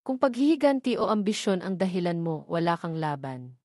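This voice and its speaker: A woman's voice: fairly low pitch, fairly quick, a little mellow, no echo, studio quality, close to an everyday voice. A woman narrator voicing a stern, embittered young man in an audio love drama, blunt and commanding. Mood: stern warning. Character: stern, embittered young man warning his former lover.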